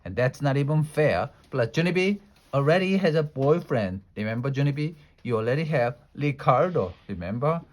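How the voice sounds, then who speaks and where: Bossy dramatic little girl, whiny, shrill, loud and high, as a man puts her on. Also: animated, normal speed, lightly acted. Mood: indignant.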